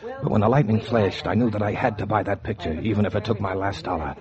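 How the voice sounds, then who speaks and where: A man's voice: fairly low pitch, quick pace, crowd noise, natural voice. An actor playing a down-on-his-luck painter who narrates in wry, hard-boiled 1940s radio noir style. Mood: weary, hungry. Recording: noisy, muffled 1940s radio recording.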